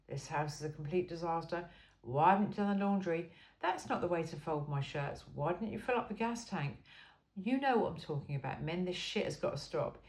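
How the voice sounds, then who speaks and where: A woman's voice: fairly low pitch, darkish tone. A woman reading an informal self-help book aloud in an emphatic, cheeky and frank way. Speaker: amateur reader of a book aloud.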